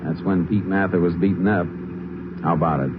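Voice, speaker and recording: An actor playing a stern, methodical Texas Ranger in an old-time radio crime drama, speaking in a terse, firm, matter-of-fact way. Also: male voice, low-pitched, fairly even intonation, brisk, noisy background, close to an everyday voice. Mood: stern questioning. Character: stern, methodical male Texas Ranger lawman, narrating his case. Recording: dull vintage radio recording.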